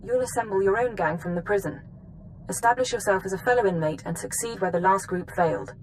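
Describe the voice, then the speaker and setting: A woman plays a stern intelligence handler in a video game. She gives orders formally and with authority in a medium-pitched voice, with faint noise behind her. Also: fast talker, natural voice, poor audio. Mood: stern, serious.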